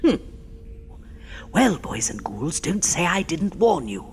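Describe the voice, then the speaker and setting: A man's voice: fairly high pitch, very animated, slow pace, slight background noise, heavily acted. A man playing a sly ghoulish horror host. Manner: mock-sinister, teasing, chuckling.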